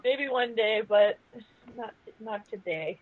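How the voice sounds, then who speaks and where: Slow-talking woman on a phone-like call. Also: fairly high pitch, animated. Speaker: panelist. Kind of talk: casual group film review chat. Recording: dry phone-like internet call.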